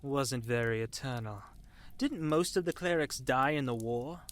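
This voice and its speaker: A man's voice: medium pitch, expressive, a little slow, close to an everyday voice. A man playing a skeptical, inquisitive listener in a conversational fantasy drama.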